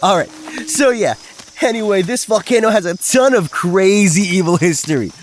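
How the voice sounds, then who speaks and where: An actor playing a brash, crude young ghost-hunting video host in an audio drama, with cocky, slangy patter. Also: male voice, sits high, lively intonation, normal speed, a little hiss or hum. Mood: hyped, showy.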